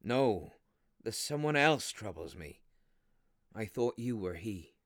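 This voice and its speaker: An actor playing a troubled railway signalman in a radio ghost story, speaking gravely and haltingly with dramatic intensity. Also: on the low side, sing-song, no room sound, studio quality. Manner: grave, halting, dramatic storytelling with hushed drops and anguished outbursts. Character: haunted, troubled railway signalman telling of a spectre. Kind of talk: radio play acting of a Victorian ghost story.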